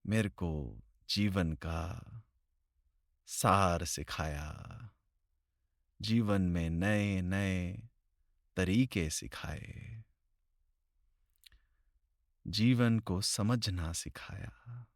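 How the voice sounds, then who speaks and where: A man leading a guided meditation in slow, deliberate phrases with long pauses, recorded close to the mic. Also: deep voice, no room sound.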